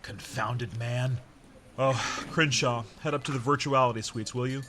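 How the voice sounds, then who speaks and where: A man acting as a seasoned-sounding, no-nonsense commander in a science-fiction audio drama. He speaks at a middling pitch, dry and wry, giving orders with gruff authority. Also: steady tone, normal speed, background noise, natural voice. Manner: dry, commanding, plain-spoken. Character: gruff, decisive, wry male base commander.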